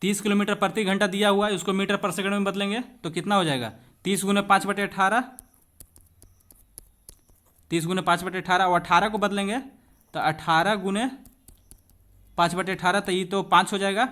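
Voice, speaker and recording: A man's voice: sits high, fairly even intonation, moderate pace, pauses often, a bit bright. A man teaching an exam-prep physics lesson, explaining conversationally with rhetorical questions to students and mixing in English terms. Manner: switches between Hindi and English terms.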